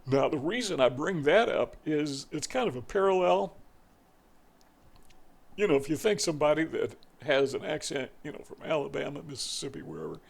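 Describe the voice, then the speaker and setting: A man with a Southern US accent speaks off the cuff in a folksy, wandering way, circling back and repeating himself as he thinks aloud. His voice sits a bit high and has a gravelly rasp. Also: big pitch swings, moderate pace. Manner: rambling, folksy, repeats words, frequent fillers. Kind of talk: unscripted monologue to camera.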